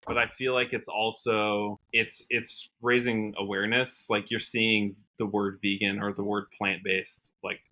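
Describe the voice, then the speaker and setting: A man with an American accent and a bright, forward voice. He talks casually as a podcast guest, earnestly circling his point, stopping and rewording as he goes. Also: on the low side, flat delivery. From Washington state, USA. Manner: halting, earnest, trails off and rephrases often. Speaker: podcast guest, country singer. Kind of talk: casual interview chat.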